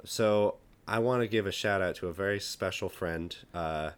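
A young man from the United States co-hosting a podcast in casual chat, joking and dry, with an animated delivery. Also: low voice, normal speed, clean tone. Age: young adult. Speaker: podcast co-host.

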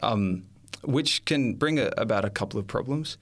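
A man sharing his faith testimony as an interview guest, earnest and reflective, pausing often as he thinks aloud. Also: mid-range voice, expressive.

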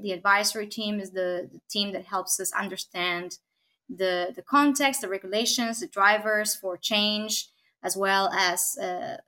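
A woman from Argentina, a technology consultant, speaking as a guest in a podcast interview, thoughtful, with frequent pauses and tag questions. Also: mid-range voice, bright, forceful tone. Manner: thoughtful, many fillers and restarts, frequent "right?".

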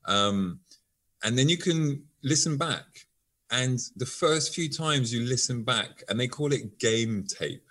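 A man's voice: mid-range voice, expressive, slow pace, a bit bright. A man being interviewed as a guest, explaining with examples in an eager conversational way.

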